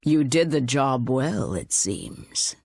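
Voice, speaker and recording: A woman playing a calm, self-deprecating elderly informant in a video game. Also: rumbling low, slow pace, vocal fry, husky, no room sound, clean studio sound, heavily acted. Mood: wry.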